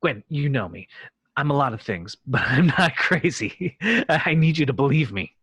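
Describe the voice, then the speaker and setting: A husky, earnest voice of a pleading would-be captain, played by a man. He speaks at a middling pitch with a dry sound, as in a science fiction table read. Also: expressive, normal speed, fairly bright tone, no echo. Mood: excited, pleading. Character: earnest, pleading actor known as a starship captain. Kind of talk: amateur table read of a film script.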